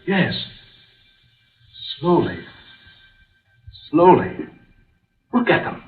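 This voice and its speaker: An earnest, alarmed fiancé speaks in melodramatic old radio horror style. His pitch leaps around expressively, and the 1940s recording is muffled. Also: big pitch swings, extremely slow, soft background music, heavily acted. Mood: anxious. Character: earnest, alarmed fiancé caught in a supernatural nightmare.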